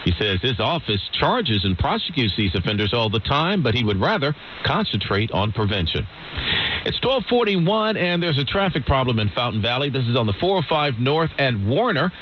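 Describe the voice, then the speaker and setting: A man's voice: medium pitch, big pitch swings, normal speed. An American man reading news and traffic as a radio anchor. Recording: muffled radio with faint hiss.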